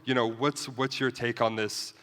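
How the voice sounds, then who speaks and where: Bright-voiced man moderating in an echoing hall. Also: mid-range voice, little pitch movement, moderate pace. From Ukraine, then part of the USSR. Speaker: panel moderator. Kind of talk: panel discussion, addressing an audience. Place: large conference hall, amplified stage. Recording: echoey hall, long reverb.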